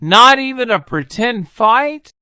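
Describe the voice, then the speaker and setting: A woman's voice: fairly high pitch, expressive, slow pace, sharp tone, smooth, no room sound, studio quality, pitched above the performer's own voice, exaggerated voice. A woman voicing an earnest, anxious young boy from a storybook, urgent and pleading.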